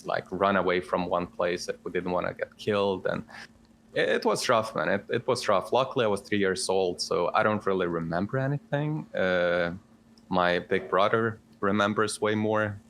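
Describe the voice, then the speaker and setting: A man's voice: sits low, normal speed, vocal fry, a bit bright. A man in his thirties with a Bosnian accent, chatting casually as a podcast guest, rambling with many fillers and restarts. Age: 30s. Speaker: guest content creator.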